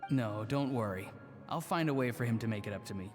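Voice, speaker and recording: Medium-pitched male voice, actor as gentle earnest young villager, video game, long reverb, faint music. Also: lively intonation, a little fast, natural voice. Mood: polite, calm. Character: gentle, earnest young man who chats with plants.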